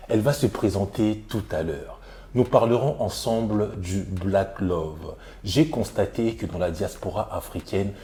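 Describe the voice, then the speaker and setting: A man's voice: deep voice, mellow, slight background noise. A man hosting an interview show in French, speaking informally and conversationally and often interjecting.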